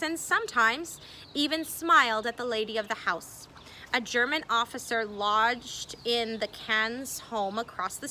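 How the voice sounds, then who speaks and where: A woman reading a memoir aloud expressively, with deliberate phrasing and dramatic emphasis. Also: on the high side, sharp tone, a little hiss or hum. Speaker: reader.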